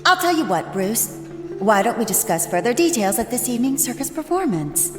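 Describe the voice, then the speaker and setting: A woman's voice: fairly high pitch, very animated, brisk, echoey room, long reverb tail, music in the background. A woman playing a caring, businesslike arena manager in an audio drama. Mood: friendly, businesslike.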